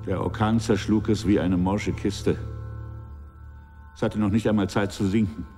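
A grave sea captain gives testimony played by a man in a very low voice with long reverb around it. He speaks in stark, measured phrases, somber throughout, as in a radio play, with faint music underneath. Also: steady tone, warm tone, lightly acted. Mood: bleak, haunted. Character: grave male sea captain on trial, recounting his shipwreck. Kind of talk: German radio drama acting.

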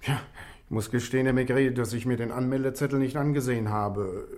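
Male actor as polite office attendant, medium pitch, sorry and deferential, old radio play. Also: fairly even intonation, brisk, darkish tone, lightly acted. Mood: apologetic. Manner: respectful, hesitant, explanatory. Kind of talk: 1960s German radio play acting. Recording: vintage 1960s radio drama recording.

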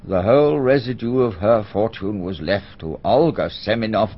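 An actor playing an elderly family solicitor who speaks in a measured, formal, slightly pompous way in a radio drama. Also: male voice, lowish voice, husky. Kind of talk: BBC radio drama acting. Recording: dull archival radio recording.

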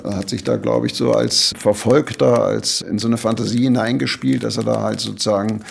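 A man with a gravelly voice giving unscripted, reflective commentary in a hesitant, halting way. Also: on the low side, steady tone.

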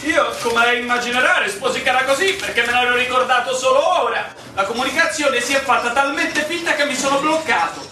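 High-voiced pompous village mayor played by a man. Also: expressive, a little fast. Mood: amused, officious. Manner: pompous, officious, condescending. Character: male village mayor, a pompous, dismissive bureaucrat. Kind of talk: theatrical radio drama dialogue. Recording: echoey, distant, noisy room recording.

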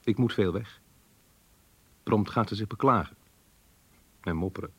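Casting: weary, self-doubting husband and schoolmaster, radio drama, deep restrained voice. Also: male voice, measured pace, husky, dry recording. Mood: weary, irritated. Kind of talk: Dutch radio play acting and monologue.

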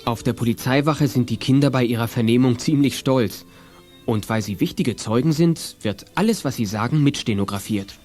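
Actor as male narrator, medium pitch, measured, articulate, scripted children's radio drama, faint music. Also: darkish tone, close to an everyday voice. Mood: composed narration. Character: male storyteller of a children's detective adventure.

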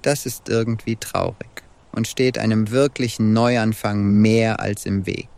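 A man's voice: lowish voice, fairly even intonation, a little slow, gravelly, a little mellow. A man playing a self-assured female Venus goddess and temple hostess in an audio drama, speaking formally and with composure. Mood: reproachful.

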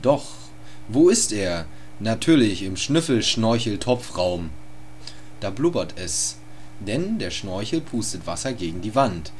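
A man narrating an absurd amateur comic radio play in a deadpan way. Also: fairly low pitch, measured pace, a little hiss or hum. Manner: deadpan, absurd, crude humor.

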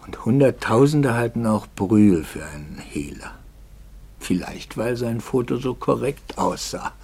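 An actor playing a troubled, anxious priest in a 1950s German radio drama, brooding and articulate, moving between hushed and impassioned speech. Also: male voice, medium pitch, animated, a little slow, creaky, husky, heavily acted. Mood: bitter, impassioned. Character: troubled, anxious priest, intense and probing, quietly bitter.

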